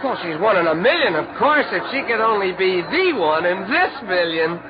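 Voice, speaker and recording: An actor in an old-time radio drama, playing an earnest, excitable and slightly hesitant department store employee, deferential to his boss. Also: high voice, moderate pace. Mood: excited. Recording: noisy, dull 1940s radio recording, crowd.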